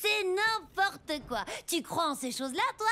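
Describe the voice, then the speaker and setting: Very high, animated young cartoon pilot. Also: female voice, measured pace, heavily acted. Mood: sceptical. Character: sceptical, indignant young seaplane pilot of a cartoon. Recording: loud music behind the voice.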